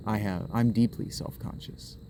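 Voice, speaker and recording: A man's voice: lowish voice, steady tone, moderate pace, background noise. A man talking to his camera on a walk in public, rambling and restarting his sentences as he muses aloud. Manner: rambling and restarting, drifting into musing. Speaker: vlogger. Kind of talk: rambling spoken vlog monologue. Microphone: camera held while walking.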